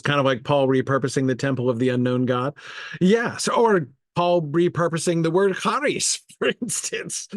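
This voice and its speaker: A man's voice: mid-range voice, dry recording. A man with a mid-range voice talks through ideas like a teacher in an informal online study group. He hesitates, corrects himself and leans hard on key words, checking in as he goes. The sound is dry, with very little room in it. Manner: hesitant, self-correcting, thinking aloud. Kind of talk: informal lecture with discussion of viewer comments.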